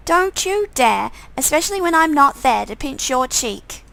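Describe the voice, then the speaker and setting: A woman plays a doting mother from a visual novel game. She speaks in a high voice with some creak, affectionate and teasing toward her grown child. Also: big pitch swings, moderate pace, bright, forceful tone, heavily acted.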